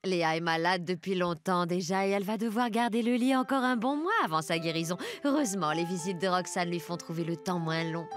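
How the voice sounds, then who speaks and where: A woman's voice: fairly low pitch, fairly quick, hardly any pauses, close to an everyday voice. A woman voicing a kindly, composed grown woman in a French cartoon, with an accent from France. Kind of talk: French animated series dialogue. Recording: music under the voice.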